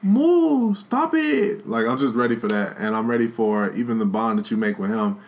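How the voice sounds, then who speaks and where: A man's voice: medium pitch, very animated, normal speed. Man, podcast co-host, casual chat, slangy, earnest, soon to be a dad. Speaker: podcast co-host, expecting father.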